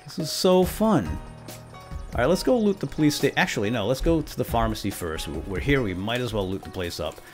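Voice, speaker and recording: A man's voice: mid-range voice, big pitch swings, moderate pace, darkish tone, faint music behind. A man hosting a gaming let's play, giving playful, exuberant commentary as he talks to himself and viewers. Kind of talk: playful game commentary with light role-play.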